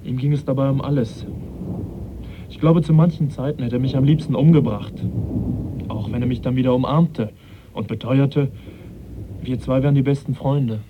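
An actor playing an earnest, middle-aged male naturalist in a German radio play, measured and educated. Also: medium pitch, steady tone, phone-quality sound, a little hiss or hum, lightly acted. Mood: pensive, concerned. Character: earnest, conscientious male naturalist and lecturer, troubled.

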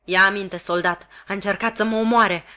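A woman's voice: medium pitch, fairly bright tone, natural voice. A woman voicing a frightened, wounded male convict who pleads while gasping for breath in a storybook reading. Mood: fearful. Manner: panting, fearful pleading.

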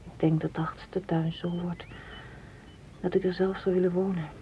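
An actress playing a solitary, dreamy woman in a radio drama, speaking in a hushed, poetic way. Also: on the low side, level tone, normal speed, a little hiss or hum, lightly acted, rough recording. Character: solitary, dreamy, independent woman longing for open space. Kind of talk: literary radio drama acting.

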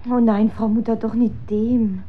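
A woman's voice: on the high side, flat delivery, measured pace, phone-quality sound. An actress playing a lovesick, shivering young daughter of olden times in a radio drama, dreamy and archaic in her wording. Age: young adult.

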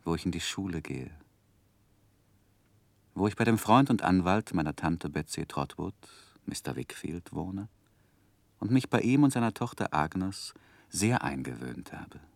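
An earnest young man looking back on his life in a 1960s German radio drama. The voice is low, with strongly rising and falling melody, recorded close and dry. He phrases in a deliberate, literary and reflective way. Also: animated, gravelly, no room sound, full character voice. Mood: musing. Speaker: first-person narrator.

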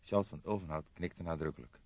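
A man reading a crime story as an audiobook narrator, in expressive scripted narration. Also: rumbling low, quick pace, breathy edge, no room sound. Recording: dull lo-fi audiobook recording.

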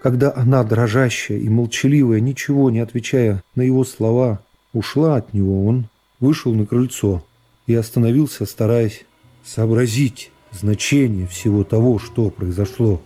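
A middle-aged man narrating a classic novel for radio in a measured, dramatic storytelling manner. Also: medium pitch, dark tone. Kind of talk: literary narration in a radio play. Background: music.

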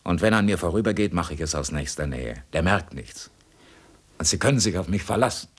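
Low-voiced sardonic convict. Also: male voice, lively intonation, brisk, husky. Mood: coolly persuasive. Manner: mocking politeness, coaxing, taunting. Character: cool, sardonic escaped male convict, cunning and persuasive. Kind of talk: 1960s German radio crime drama acting.

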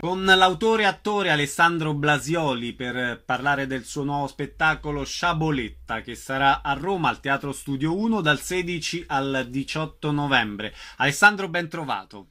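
A man's voice: on the high side, moderate pace, few pauses, sharp tone. A man hosting a radio arts programme in a conversational interview style.

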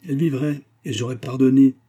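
A man's voice: low-pitched, little pitch movement, moderate pace, dark tone, breathy edge, highs cut off. A man voicing a haughty, bitter mother, imperious and vehement, in a storybook reading. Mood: anguished. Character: haughty, angry matron, bitter grieving mother.

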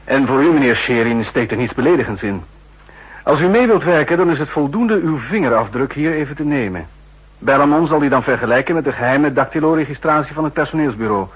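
An actor playing a suspicious, quietly authoritative army general in a 1960s Dutch radio drama, husky and measured, weighing his words. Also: mid-range voice, animated, highs cut off. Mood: formal, insistent.

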